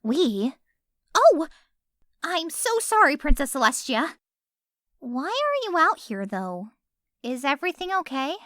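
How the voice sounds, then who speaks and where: A woman's voice: sits high, big pitch swings, slow, long pauses, fairly bright tone, no echo, studio quality, heavily acted. A woman voicing a young, polite, easily flustered pony princess in a cartoon-style audio drama. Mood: surprised, concerned. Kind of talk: animated-series fan audio drama.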